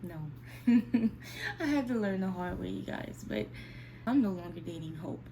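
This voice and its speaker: A woman around thirty talks to her audience in a candid, conversational vlog style. Her voice sits at a medium pitch and she addresses listeners directly, as if confiding in them. There is clearly audible ambient noise in the recording. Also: lively intonation, moderate pace, mellow. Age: 29, almost 30. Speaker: vlogger speaking to her viewers. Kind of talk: casual, candid sit-down chat.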